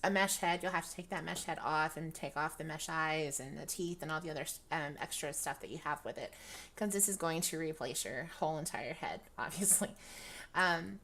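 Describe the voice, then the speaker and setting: A young woman with a dry, raspy voice, chatting casually through a product review with lots of ums and restarts. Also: sits low, moderate pace, fairly bright tone. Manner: rambling, many ums, laughs often. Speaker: virtual-world fashion vlogger.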